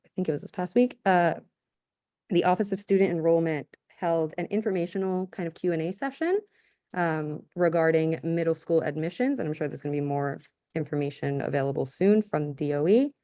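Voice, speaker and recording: A woman reports to a council over a video call in an upbeat, enthusiastic way. Her voice sits low and sounds mellow, and the audio is close and dry. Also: fairly quick, no echo. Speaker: school liaison. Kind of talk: meeting report.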